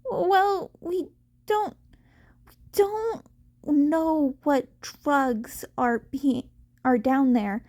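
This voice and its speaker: Woman voicing a nervous, high-pitched stammering teenage boy. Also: extremely slow, pauses often, mellow. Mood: nervous, hesitant. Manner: hesitant, stammering. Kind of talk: fan fiction audiobook character acting.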